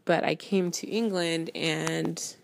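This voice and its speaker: A woman recalling her own experiences in an interview, in halting phrases with many pauses. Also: deep voice, steady tone, vocal fry, a little hiss or hum. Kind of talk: reflective personal anecdote in interview.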